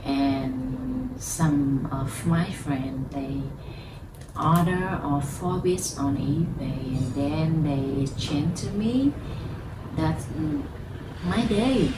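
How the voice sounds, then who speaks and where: A Vietnamese woman speaking halting English as a second language, chatting casually to camera about her lighter collection at home, with many fillers. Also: low voice, echoey room, distant mic, noisy background.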